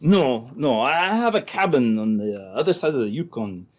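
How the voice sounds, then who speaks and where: A man acts out a scheming embezzler in an old-time radio play. He is nervous yet calculating, stalling and placating. His pitch moves in lively swings around a middling register. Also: moderate pace. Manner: hesitant, placating, reasoning.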